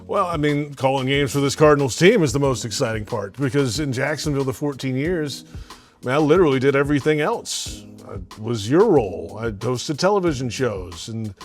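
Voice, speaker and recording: Alabama guy, sports radio announcer, chatty and rambling, mid pitch, soft music behind. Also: animated, fairly quick. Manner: rambling, with many fillers and restarts. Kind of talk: casual interview answers.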